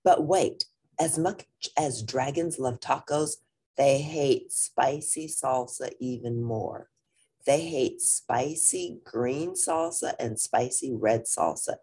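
A woman with a husky voice reading a picture book aloud to young listeners in an animated, deliberate manner, with brief asides. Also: extremely low pitch. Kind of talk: expressive children's read-aloud with brief asides.

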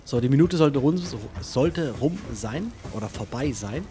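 A man's voice: mid-range voice, expressive, a little slow, mellow, slight background noise. A man giving casual let's play commentary, thinking aloud and chatting to himself and his viewers.

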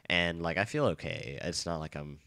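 A young man with a bad cold and a nasal, stuffy voice, chatting casually and rambling excitedly while playing a game. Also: low-pitched, moderate pace, no echo. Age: young adult. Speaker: let's play gamer. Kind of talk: casual gameplay commentary. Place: dorm room.

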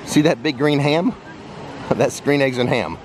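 A man's voice: medium pitch, a little slow, a crowd in the background. A man narrating an unscripted walking tour as a vlogger and guide, speaking directly to viewers and pointing out sights. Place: outdoors, walking through a busy theme park. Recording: outdoor ambient noise of busy park.

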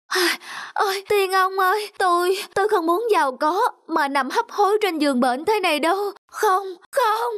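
A woman's voice: very high-pitched, normal speed, fairly bright tone. A woman voicing a greedy, whiny poor young man in a fairy-tale cartoon, exclaiming and pleading. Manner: exclamatory, pleading, stammering in alarm. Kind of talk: animated fairy-tale dubbing.

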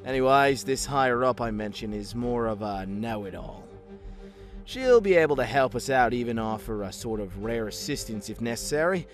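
A man's voice: mid-range voice, expressive, moderate pace, close to an everyday voice. A man voicing a chatty, slightly smug killer robot in a comic dub, with theatrical, scheming politeness. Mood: smug, explanatory. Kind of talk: fan comic dub acting. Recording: music behind the voice.

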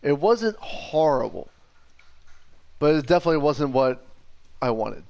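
A man's voice: on the high side, sing-song, unhurried, gravelly, a little mellow. A young man co-hosting a gaming show, chatting casually and giving emphatic opinions over gameplay.

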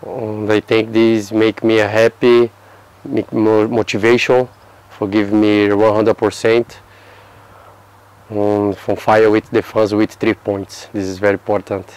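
A man in his thirties from Brazil, answering interview questions in halting English with a Brazilian Portuguese accent and many restarts. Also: fairly low pitch, little pitch movement, darkish tone, clean tone, poor audio. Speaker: football player. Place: outdoor terrace by a yellow building.

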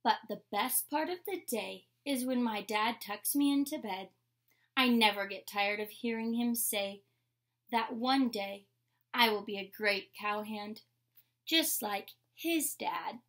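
Slow-paced young woman reading aloud, creaky voice. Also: a little high, a bit bright. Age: young adult. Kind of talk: picture-book read-aloud with short explanations. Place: indoor room with painted mural wall.

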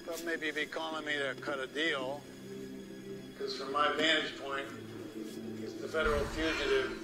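A man's voice: on the high side, level tone, moderate pace, natural voice. An actor playing a stern, goading man of authority in a film drama, recorded with echo. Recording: echoey, clear music behind.

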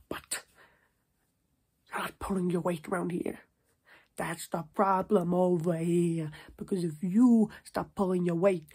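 Guy putting on a jersey mob boss for asmr, super husky n whispery, stern, keeps checking you get it. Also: high voice. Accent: put-on New Jersey mobster. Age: 20s. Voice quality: hushed, breathy, husky. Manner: repetitive, slangy, stern with checking tags. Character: bossy male New Jersey mob boss, scolding, paternal. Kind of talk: ASMR roleplay monologue. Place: small domestic room, indoors.

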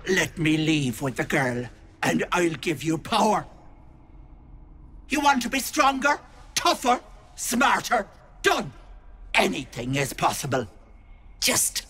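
A woman's voice: low voice, animated, slow pace, pauses often, fairly bright tone, slightly rough, exaggerated voice. A woman playing a vicious, wheedling old hag in a video game, theatrical and taunting with mock-sweet menace. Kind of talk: video game character acting.

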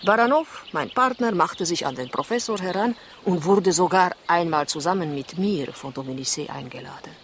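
A poised female spy in an old radio thriller. She coaxes and gently talks down to her listener. The 1960s recording has a faint layer of noise. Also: fairly low pitch, lively intonation, moderate pace, hardly any pauses. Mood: confiding, matter-of-fact. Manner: coaxing, condescending endearments. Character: poised female Russian spy, coaxing and patronizing. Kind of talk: 1960s German radio crime play acting.

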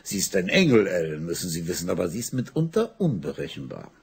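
A jovial, chuckling rich father, with a gravelly, husky voice. His intonation rises and falls in a lively way. A warm-hearted radio-play figure. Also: low voice, fast, full character voice. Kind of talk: 1980s radio drama acting.

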